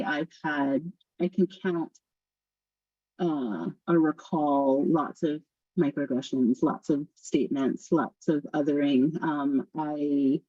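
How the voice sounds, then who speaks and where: Thoughtful woman panelist, soft husky voice, muffled dry recording. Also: low voice, dry recording. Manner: thoughtful, hesitant, many "um"s. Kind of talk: reflective panel discussion. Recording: dull lo-fi sound.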